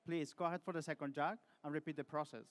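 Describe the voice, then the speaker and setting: A man from Peru giving a rehearsed barista competition presentation to judges, speaking in a measured way with short pauses. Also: on the high side, steady tone.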